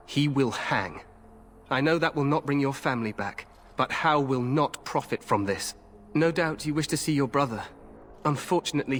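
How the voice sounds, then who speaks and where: An actor playing a confident, glory-hungry young king in a fantasy game, regal, grand and expressive. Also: medium pitch, a little hiss or hum, lightly acted. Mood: shocked, resolute, then regretful, regal. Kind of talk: fantasy video game acting.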